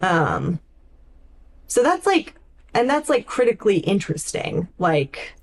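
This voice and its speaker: A woman co-hosting a podcast, casually discussing literature in a thoughtful, halting way with frequent "like". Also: mid-range voice, sing-song, a little hiss or hum. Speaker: podcast co-host.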